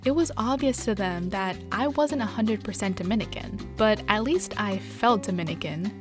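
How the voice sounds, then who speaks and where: A woman from New York, a journalist, reading a scripted personal story in a reflective, measured way. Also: medium pitch, over music.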